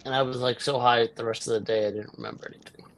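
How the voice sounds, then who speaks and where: A man in his thirties chatting casually, quiet and halting, with a husky voice. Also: medium pitch, steady tone, rough recording. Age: 30s. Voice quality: husky, gravelly voice. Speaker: guest. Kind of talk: casual chat. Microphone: poor mic.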